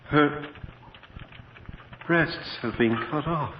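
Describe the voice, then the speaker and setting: Horrified devout nobleman in old radio horror, slow and grave, hissy dull recording. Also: on the high side. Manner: grave, dramatic. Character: horrified, pious nobleman lord. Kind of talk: 1980s radio horror drama acting.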